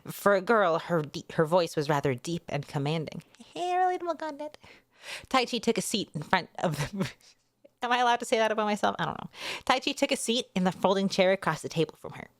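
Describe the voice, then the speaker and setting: A woman's voice: medium pitch, expressive, a little fast, no echo, studio quality. A woman hosting a casual readalong, narrating a novel expressively with chatty asides. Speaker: VTuber host reading a light novel aloud.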